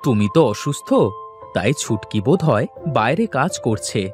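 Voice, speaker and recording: A man's voice: medium pitch, expressive, normal speed, music in the background, lightly acted. A man playing a concerned, caring village father in a cartoon, speaking expressively. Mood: gentle, explaining. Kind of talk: Bengali cartoon voice acting.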